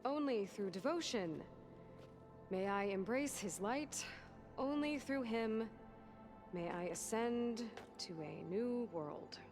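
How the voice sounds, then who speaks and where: A woman's voice: fairly high pitch, lively intonation, takes it slowly, music in the background. A woman playing a determined, pragmatic young heroine in a video game, with a direct, dry way of talking. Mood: dry, confident. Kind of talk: video game cutscene acting.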